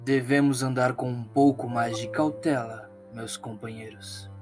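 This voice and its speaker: A man's voice: mid-range voice, steady tone, unhurried, music in the background, close to an everyday voice. A man playing a cautious, courteous adventuring companion in a tabletop game. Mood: wary.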